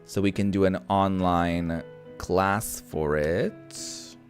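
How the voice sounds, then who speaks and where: Slow, low-voiced man playing games. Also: fairly even intonation. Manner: casual, mildly sweary, thinks out loud. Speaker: gaming host. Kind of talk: casual let's-play commentary. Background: background game music.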